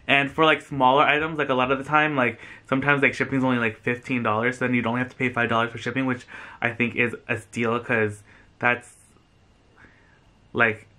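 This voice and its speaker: A man's voice: mid-range voice, level tone, brisk, sharp tone. An American man in his thirties talking casually to the camera as a vlogger, effusive and rambling, with lots of "like". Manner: effusive, chatty, rambling with frequent "like". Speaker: vlogger and thrift reseller. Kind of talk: casual chatty vlog to camera. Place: small furnished room indoors, on a sofa.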